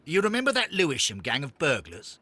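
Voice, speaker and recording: An actor playing a deferential, matter-of-fact Victorian police inspector in a video game, with a husky voice. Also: male voice, fairly high pitch, huge pitch swings, a little fast, bright, forceful tone, no room sound, heavily acted. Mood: earnest, matter-of-fact. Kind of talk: scripted video game acting.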